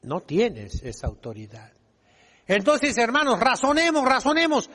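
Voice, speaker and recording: A man's voice: very high voice, very animated, a little slow, a bit bright. A man preaching a sermon as a priest, emphatic and didactic, putting rhetorical questions to his listeners. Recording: long reverberant echo of a large hall.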